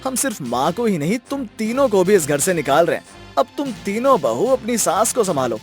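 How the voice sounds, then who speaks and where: A man's voice: high voice, sing-song, brisk, soft background music. A man voicing a selfish adult son in a dramatic, declamatory manner for a moral story cartoon. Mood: cold, defiant. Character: selfish, callous adult son in a family tale.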